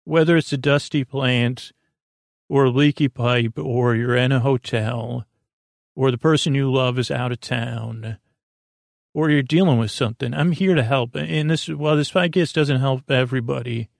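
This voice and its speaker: A man with a creaky voice hosting a bedtime podcast, meandering hesitantly, mumbling and trailing off in a goofy way. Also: medium pitch, fairly even intonation, normal speed, no room sound, studio quality. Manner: silly, goofy. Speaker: podcast host. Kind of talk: rambling bedtime podcast monologue.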